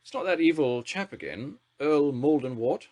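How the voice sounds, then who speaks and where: A man's voice: fairly high pitch, lively intonation, moderate pace, darkish tone, pitched above the performer's own voice. A man putting on a drawling imperious lady leader. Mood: bantering. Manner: drawling, bantering, dismissive. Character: brusque imperious older woman prime minister.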